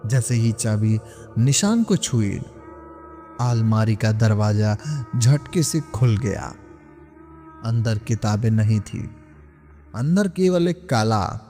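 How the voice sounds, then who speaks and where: A man narrating a scripted horror story in a suspenseful, dramatic way with deliberate pauses. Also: medium pitch, warm tone. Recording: long cavernous reverb, music underneath. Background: background music.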